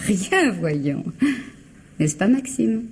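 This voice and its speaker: An actress playing a cool, scheming sister in a radio mystery, falsely polite, composed and insinuating. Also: female voice, mid-range voice, big pitch swings, very slow, exaggerated voice. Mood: falsely soothing. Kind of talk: French radio mystery drama acting. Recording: radio drama with audible background noise.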